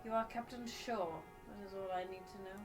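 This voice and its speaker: A woman's voice: mid-range voice, fairly even intonation, fairly quick, over music, natural voice. A woman voicing a formal, aloof crew member in a game, precise, detached and stiffly polite. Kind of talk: voiced game dialogue.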